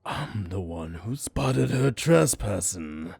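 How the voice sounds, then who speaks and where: An actor playing a crude, petulant male henchman in an audio drama, with a raspy, gravelly voice and a drawn-out whine. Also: lowish voice, animated, a little mellow, no room sound, clean studio sound, heavily acted. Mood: indignant. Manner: drawn-out, whining.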